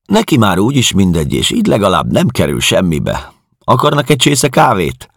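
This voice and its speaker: A man's voice: fairly low pitch, wildly swooping pitch, creaky, a bit bright, husky, no room sound, studio quality, pitched above the performer's own voice, exaggerated voice. A man voicing a chatty, matter-of-fact female caretaker in an audiobook. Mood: brisk, unsentimental. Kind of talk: audiobook character dialogue.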